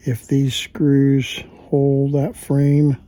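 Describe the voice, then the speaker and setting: A husky-voiced man thinking aloud in a casual, halting mutter as he hosts a hands-on repair video. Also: medium pitch, monotone, dark tone. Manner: halting, muttering to himself while working. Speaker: repair hobbyist and video host.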